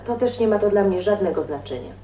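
An actress playing a calm, defiant young woman in a radio drama, speaking resolutely and matter-of-factly. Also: mid-range voice, fast talker, close to an everyday voice. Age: young adult. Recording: echoey, distant, faint hiss.

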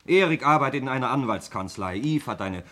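An actor playing a glib, needling heir under suspicion, breezy and ironic, in a German 1960s radio play. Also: male voice, medium pitch, lively intonation, a little fast, fairly bright tone, lightly acted. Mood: protesting.

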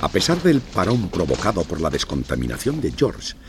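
A man's voice: low-pitched, wildly swooping pitch, normal speed, background noise. A man narrating a scripted children's story with dramatic storytelling delivery.

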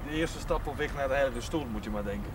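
A man's voice: mid-range voice, fast talker, lightly acted. A man acting a plain-spoken friend in a naturalistic television drama. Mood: dry. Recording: very noisy outdoor location sound.

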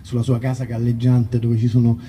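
A man, a singer-songwriter, informally introducing songs at a live concert in a rambling, halting way that often breaks off mid-thought. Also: medium pitch, monotone, mellow, distant mic, a little hiss or hum. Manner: rambling, halting, self-interrupting. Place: outdoor festival concert in a nature park. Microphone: stage microphone through amplification.

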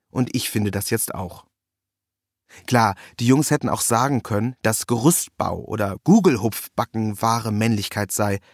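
A man's voice: medium pitch, dry recording, studio quality. A man reading a scripted first-person story in a wry, ironic manner. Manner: wry, ironic delivery with acted quotes. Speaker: narrator.

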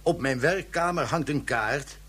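A pompous high-voiced orator. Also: male voice, a little slow, pushed and bright, slightly rough, exaggerated voice. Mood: kindly. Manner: ornate, solemn speechmaking. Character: pompous long-winded male official, middle-aged. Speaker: dignitary giving a ceremonial speech. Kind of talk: radio play acting.

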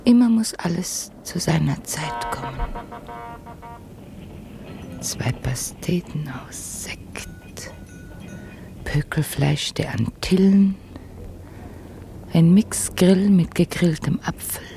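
A woman's voice: on the low side, huge pitch swings, takes it slowly, gravelly, long pauses, full character voice. An actress in a radio drama playing an enigmatic, dreamy young Frenchwoman, speaking in an incantatory, intense way. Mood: hushed, trancelike. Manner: incantatory, repetitive, at times whispering. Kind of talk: literary radio drama acting.

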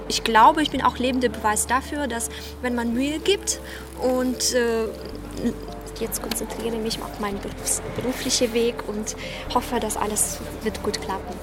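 Woman, Ukrainian accent, high voice, earnest, searching for words, loud crowd and music around. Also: steady tone, moderate pace. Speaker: job seeker at a graduate fair. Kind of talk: interview.

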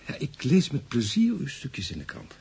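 An actor in a radio drama playing a calm, courteous adviser who speaks in a polite, modest, measured way. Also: male voice, mid-range voice, creaky, slight background noise. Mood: measured, serious. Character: calm, courteous male adviser receiving a nervous client.

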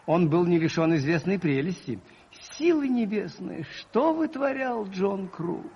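An actor playing an expressive gentleman storyteller, narrating in a literary manner for a radio play. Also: high-pitched, unhurried, vocal fry, muffled recording, exaggerated voice. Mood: amused wonder. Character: animated gentleman storyteller recalling events. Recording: old radio play with loud music.

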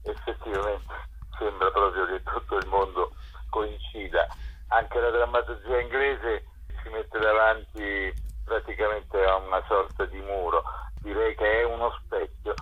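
A man giving a measured phone interview as a festival curator, deliberate and pausing between phrases. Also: fairly low pitch, fairly even intonation, slightly rough. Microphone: telephone. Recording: dull, muffled telephone line.

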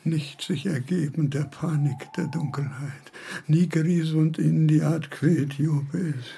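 An actor playing a frail, confused old philosopher in a radio drama, halting and muttering as he repeats himself. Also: a little high, fairly even intonation, warm tone, exaggerated voice. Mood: confused, anxious. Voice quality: very husky, creaky, breathy.

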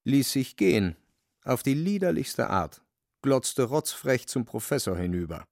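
Casting: male narrator for literary radio drama, gravelly mid-range voice, dramatic delivery, dry close sound. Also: medium pitch, sing-song, measured pace, a little mellow, no echo.

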